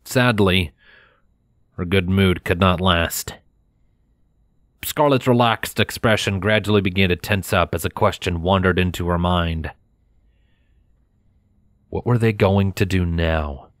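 A man narrating a scripted story in a measured, expressive storytelling manner, close to the microphone. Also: deep voice, no echo.

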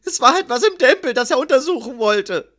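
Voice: high voice, expressive, brisk, fairly bright tone, voice pushed up. Hohen, wimmernden, verängstigten Schreiber. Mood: frightened, stammering. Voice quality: whining, sobbing, stammering. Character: terrified whimpering male scribe, servile, begging.

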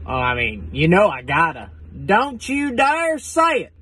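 A man's voice: sits high, wildly swooping pitch, measured pace, bright, forceful tone, slight background noise. A young man in a truck cab, filmed by a dashboard phone, arguing with himself in a comic skit. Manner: arguing with himself, scolding and resisting. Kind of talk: comedy skit. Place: inside a pickup truck cab.

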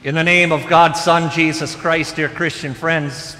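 A middle-aged man preaching a sermon from the pulpit, animated and conversational. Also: fairly high pitch, a little slow. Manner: animated preaching with wide gestures, moving about behind the pulpit. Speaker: pastor. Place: large church sanctuary. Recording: long church reverb.

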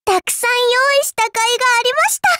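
A woman's voice: extremely high pitch, a little fast, pushed and bright, no echo, studio quality. A woman voicing a petite, polite, mischievous fairy girl in an anime-style game, with a cutesy, playfully condescending courtesy. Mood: sweet, playful. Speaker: game character voice actor. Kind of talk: Japanese mobile game voice lines, anime acting.

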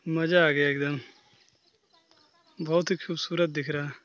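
A young man in his twenties from northern India, chatting excitedly in a casual vlog from a village rooftop, recorded on a phone at arm's length. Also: fairly high pitch, unhurried, no room sound, dull audio. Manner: excited, chatty, laughing easily. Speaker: vlogger. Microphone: phone held at arm's length.

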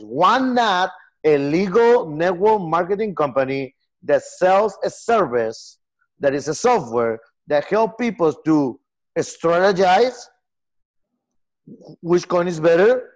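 A man in his forties from Peru, speaking English with a Peruvian Spanish accent, earnest and halting in a casual video-call interview. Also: on the high side, sing-song, low-quality recording. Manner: earnest, gestures a lot, halting non-native phrasing. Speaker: software company founder. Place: home room with lamp and armchair.

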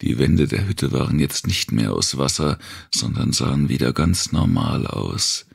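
A man reading scripted narration for a dark thriller audiobook. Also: a bass voice, lively intonation, a little slow, creaky, breathy edge.

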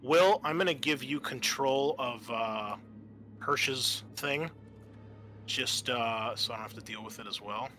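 A man narrating a tabletop roleplaying game as game master, in a halting, conversational way. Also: mid-range voice, pushed and bright. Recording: faint background noise.

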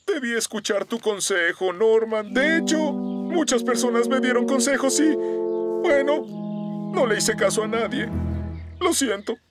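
High-voiced older bus driver. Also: male voice, expressive, unhurried. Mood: sheepish. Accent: Latin American Spanish. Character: older male bus driver, headstrong but well-meaning. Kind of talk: animated children's cartoon dub. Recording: studio dub under loud music.

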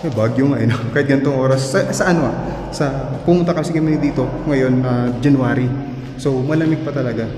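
A man's voice: mid-range voice, a little fast, noisy background. Chatty man vlogging with a handheld camera. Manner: chatty, excitable, trails off into hushed remarks in dark rooms. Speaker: vlog host exploring abandoned places. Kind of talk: casual walking vlog. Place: abandoned concrete building, indoors and outdoors. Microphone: handheld action camera at arm's length.

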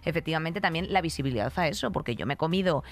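A woman's voice: deep voice, lively intonation, racing. A woman in her thirties with a Spain Spanish accent, co-hosting a podcast in a blunt, sweary, emphatic way, close on a studio mic. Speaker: podcast co-host. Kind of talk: candid, opinionated podcast chat. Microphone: close studio microphone on arm.

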